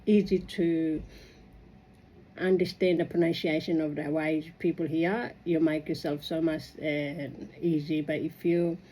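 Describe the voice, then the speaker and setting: A woman with a fairly low, soft-edged mellow voice speaks English as a second language. She talks casually and reflectively about her own experience, earnest and halting, often circling back as she rambles. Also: little pitch movement, slight background noise, rough recording. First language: non-native English speaker. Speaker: migrant sharing personal experience.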